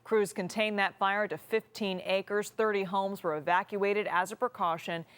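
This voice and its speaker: A woman news anchor reading stories and handing off to reporters, in a crisp anchor delivery. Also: mid-range voice, steady tone, normal speed, a bit bright.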